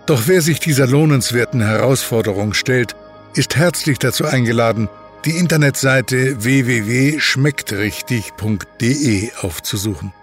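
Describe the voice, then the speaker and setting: A man's voice: mid-range voice, normal speed, soft background music. A man narrating a scripted, documentary-style text as the narrator of an audio production.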